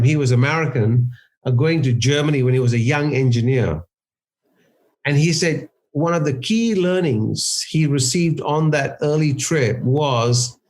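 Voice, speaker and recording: Guy on a podcast explaining culture stuff, slightly high voice, keeps restarting and going "right?". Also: a little mellow. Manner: thoughtful, restarts sentences, often adds "right?". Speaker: guest, cross-cultural consultant. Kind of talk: conversational interview answers.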